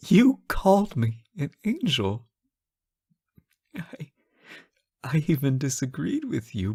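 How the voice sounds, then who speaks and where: A man playing an anxious, devoted fallen angel in an emotional audio roleplay, speaking haltingly and pleadingly to the listener. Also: a little high, mellow, slightly rough, full character voice. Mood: overwhelmed, adoring.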